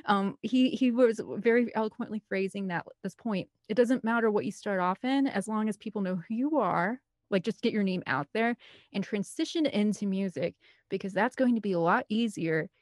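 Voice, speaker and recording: A woman's voice: mid-range voice, normal speed, darkish tone, dry recording. Midwestern American woman, dry close recording. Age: 30s. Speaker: podcast guest, film composer and director. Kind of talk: casual chatty interview storytelling.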